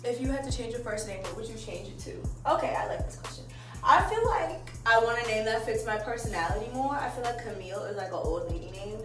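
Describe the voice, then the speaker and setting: A young woman from Maryland talks candidly and laughs easily as she curls her hair at home. Her fairly high voice sounds distant and echoey, picked up by a phone set away from her, with music playing clearly behind. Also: lively intonation, fast. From Maryland, USA. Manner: chatty and candid, laughs often, swears casually. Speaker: vlogger. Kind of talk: casual chat while curling hair. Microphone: phone camera.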